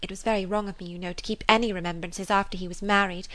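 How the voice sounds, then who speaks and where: A woman's voice: mid-range voice, fairly even intonation, quick pace, a bit bright, lightly acted. A woman voicing an earnest, impressionable young woman in love in a storybook, with an effusive, emphatic manner. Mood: ashamed, resolute. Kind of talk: dramatised audiobook character reading.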